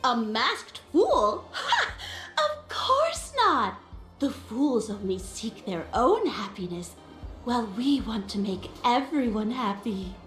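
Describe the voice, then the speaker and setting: A cheery, theatrical young woman speaks in a high, swooping voice at an unhurried pace. She is effusive and welcoming, with echo and faint music around her. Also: sing-song, a bit bright, heavily acted. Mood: amused, earnest. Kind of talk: anime game dialogue. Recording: echoey game audio with light music.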